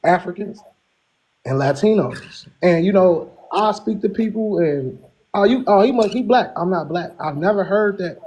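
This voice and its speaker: Earnest guy on a call-in, kinda husky, talks in circles. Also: high voice, expressive, normal speed, darkish tone. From Chicago, USA. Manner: earnest, rambling, restarts often. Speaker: caller. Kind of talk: casual call-in talk.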